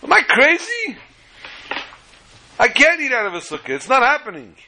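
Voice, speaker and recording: A man's voice: sits high, very animated, fast, sharp tone, slight background noise, heavily acted, low-quality recording. A man playing a hungry, stubborn guest in a religious tale, exclaiming and arguing in rhetorical questions. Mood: exasperated. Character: hungry, indignant male holiday guest, pleading and stubborn.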